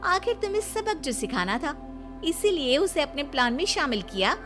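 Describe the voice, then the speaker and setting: Woman doing jealous cartoon wife, high and super lively, sarcastic, music behind. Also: moderate pace. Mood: smug. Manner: scolding, sarcastic. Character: young wife, jealous, sharp-tongued, fiery.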